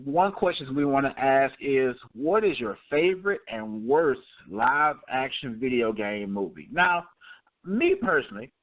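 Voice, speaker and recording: A man's voice: mid-range voice, lively intonation, measured pace, no room sound, highs cut off. A man hosting a casual panel show, chatty and familiar with his guests. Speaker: show host.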